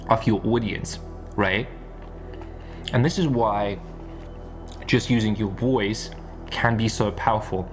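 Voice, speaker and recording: A man giving a casual explanatory monologue like an online coach, repeating points for emphasis and often checking for agreement. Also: fairly low pitch. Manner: emphatic and repetitive, often seeking agreement with tag questions. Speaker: YouTube business and spirituality coach.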